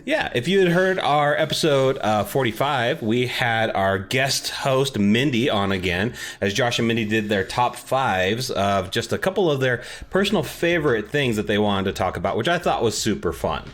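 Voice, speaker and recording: A man's voice: medium pitch, animated, normal speed, few pauses, fairly bright tone. A man hosting a podcast in an informal, conversational, self-deprecating way, chatting casually. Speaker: podcast host. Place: home office without soundproofing. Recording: echoey untreated home office, music underneath. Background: underlying background music.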